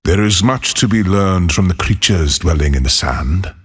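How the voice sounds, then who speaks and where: An actor playing a solitary, haunted former monk turned lawless desert wanderer in a video game, speaking in a solemn, measured, husky voice. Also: male voice, low voice, expressive. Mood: weary, reflective. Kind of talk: scripted game character voicelines.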